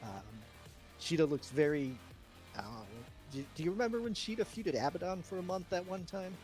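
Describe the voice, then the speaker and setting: Halting man chatting casually over background music. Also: high voice, lively intonation, a little mellow. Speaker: streamer running a fantasy wrestling booking game. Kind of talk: casual improvised live-stream chat. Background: chillhop video game music.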